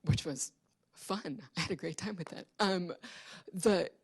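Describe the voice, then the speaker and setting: A woman on a panel at a public event, reflecting in a measured, academic way and pausing mid-phrase. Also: lowish voice, husky. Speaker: panelist and translation collaborator.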